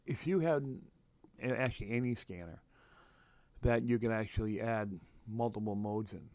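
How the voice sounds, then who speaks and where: A man from New England hosting a casual, unscripted livestream, halting and repeating himself as he thinks aloud. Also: lowish voice, a little mellow, dry recording. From New England, United States. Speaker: livestream host, radio scanner hobbyist. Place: room at home. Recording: noticeable background noise, home livestream.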